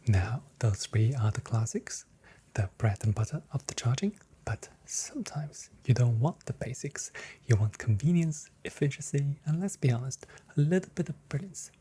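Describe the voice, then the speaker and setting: Soft, mellow whispering ASMR voice, sex ambiguous. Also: mid-range voice, a little hiss or hum. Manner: soft-spoken, breathy whispering. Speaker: ASMR performer playing a shop assistant. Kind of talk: whispered ASMR shop role play.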